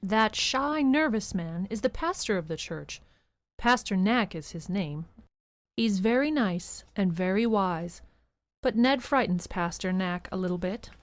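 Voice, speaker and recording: A woman's voice: medium pitch, lively intonation, a little slow, no room sound. A woman narrating a storybook tale for an audio drama, conversationally addressing the listener.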